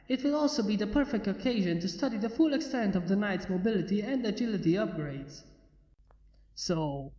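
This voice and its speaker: A woman's voice: mid-range voice, dark tone, lightly acted, rough recording. A woman playing a stiff, formal male inventor.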